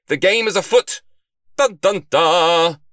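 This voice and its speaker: An excitable male detective from a storybook, acted out by a male narrator. He is earnest and theatrical, with lively swings in pitch and some gravel in the voice, recorded very dry. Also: a little slow, no room sound, heavily acted. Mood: excited. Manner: exclaiming, self-questioning, dramatic. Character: excitable, earnest male amateur detective puzzling over mysteries.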